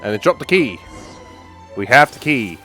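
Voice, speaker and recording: A man's voice: mid-range voice, very animated, normal speed, sharp tone, faint music behind. A man hosting a casual playthrough, reacting aloud and echoing what he hears as he plays. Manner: echoes and reacts to the dialogue aloud. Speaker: gaming channel host.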